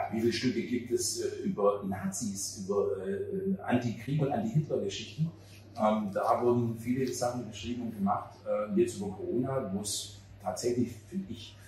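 A man in his fifties gives conversational interview answers, pausing often to find his words. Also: lowish voice, dark tone. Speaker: theater director being interviewed. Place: outdoor café table on a street at night. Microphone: handheld interview mic held by interviewer. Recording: very roomy, distant mic, faint ambient noise.

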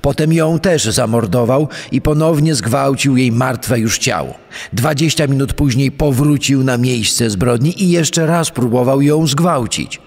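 Man acting gravelly, stern governor in reverberant hall. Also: mid-range voice. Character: male state governor, stern, coldly refusing mercy. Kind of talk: acted reading of a letter in a crime documentary. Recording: long reverb, music behind.

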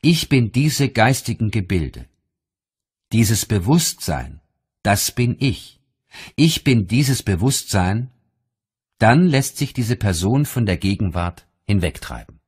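A man narrating an audiobook of spiritual teachings in a measured, deliberate, solemn reading style. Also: fairly low pitch, big pitch swings, darkish tone, breathy edge.

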